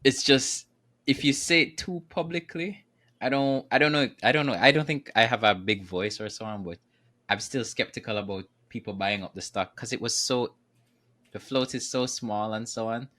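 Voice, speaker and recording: A man in casual investing chat on a podcast, animated, laughing often and hedging with "you know". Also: mid-range voice, normal speed. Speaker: podcast co-host.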